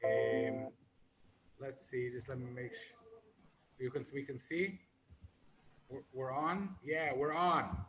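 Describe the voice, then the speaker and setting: A man's voice: medium pitch, steady tone, slow, long pauses, noisy background. A man hosting a casual video call interview, informal and joking with his guest. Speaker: host.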